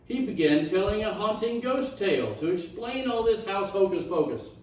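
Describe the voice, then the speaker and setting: A man reading a rhyming children's story aloud in a theatrical, expressive storytime style. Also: sits high, moderate pace, slight background noise. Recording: echoey room, distant mic.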